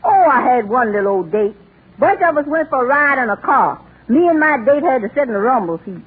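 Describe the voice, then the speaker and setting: An actress playing a big, good-natured, flattering Southern maid with a folksy drawl in 1940s radio comedy style. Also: female voice, high voice, fairly quick, husky, full character voice. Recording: tinny, hissy old radio broadcast.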